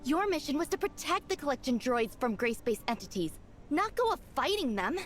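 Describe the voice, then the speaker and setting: An actress voicing a stern woman security chief in a video game, firing off sharp questions at a subordinate. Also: high-pitched, big pitch swings, a little fast, faint music behind.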